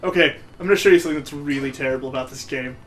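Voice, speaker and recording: A man's voice: medium pitch, racing, fairly bright tone. A man chatting casually and sarcastically as he plays and comments on a video game. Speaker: gaming video co-host playing the game. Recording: game music behind the voice. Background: video game music.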